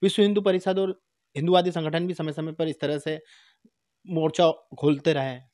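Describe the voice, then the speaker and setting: A man's voice: a little high, fairly even intonation, moderate pace, darkish tone. A male news reporter. He puts long questions to an interviewee in a television interview style, recorded indoors on a handheld microphone. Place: small furnished room indoors. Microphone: handheld microphone pointed toward the interviewee.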